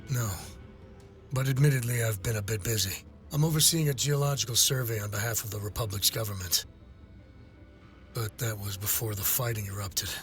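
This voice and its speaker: An actor playing a proud, sceptical survey overseer in a video game, with formal and dramatic delivery. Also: male voice, lowish voice, brisk, soft background music, close to an everyday voice. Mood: surprised, guarded. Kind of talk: cinematic game voice acting.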